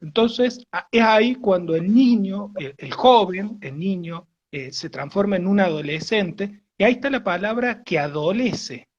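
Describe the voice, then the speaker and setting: A man's voice: sits high, expressive, measured pace, hardly any pauses, highs cut off. A man with an Argentine Spanish accent giving a virtual lecture, explaining with many fillers and rephrasings.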